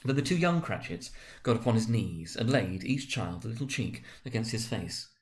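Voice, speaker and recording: Male storyteller, dramatic literary narration, very lively intonation, occasionally halting. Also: fairly low pitch.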